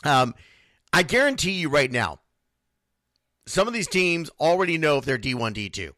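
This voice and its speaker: A man's voice: fairly high pitch, sing-song, normal speed, bright, forceful tone, no echo, studio quality. A man hosting an unscripted sports talk show, answering listeners in a blunt, emphatic way and repeating phrases for stress. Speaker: sports radio show host.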